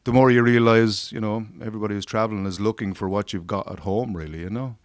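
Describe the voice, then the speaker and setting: A very deep-voiced Irish man talks casually in a radio studio, stopping and restarting often with lots of fillers. The sound is close and dry, with no room around it. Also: steady tone, no room sound. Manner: halting, repeats words, many fillers. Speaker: singer guest.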